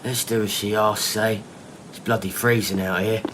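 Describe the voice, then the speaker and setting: A man's voice: lowish voice, steady tone, normal speed, noisy background, natural voice. A man acting a chatty, jittery, squeamish sidekick in an audio drama. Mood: flustered.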